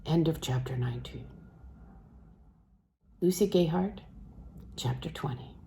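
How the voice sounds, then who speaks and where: A woman reading a literary novel aloud in a measured, phrase-by-phrase way, letting phrase endings fall soft and breathy. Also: low-pitched, slight background noise. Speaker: audiobook reader.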